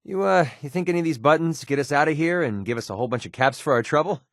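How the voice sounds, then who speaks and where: A man's voice: a little high, quick pace, no echo, studio quality, close to an everyday voice. A man with an American accent playing a sarcastic, wisecracking young mercenary companion in a video game. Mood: wry, sarcastic. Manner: sardonic, wisecracking, colloquial. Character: wry, sarcastic young male wasteland mercenary. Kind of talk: video game companion dialogue, naturalistic acting.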